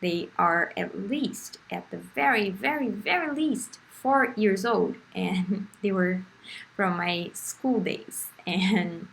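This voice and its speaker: A woman's voice: mid-range voice, slow pace, creaky, fairly bright tone, poor audio. A young woman from Brazil with a Brazilian Portuguese accent, narrating her first craft video in a chatty, self-deprecating way with frequent laughs and restarts. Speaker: art vlogger. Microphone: phone.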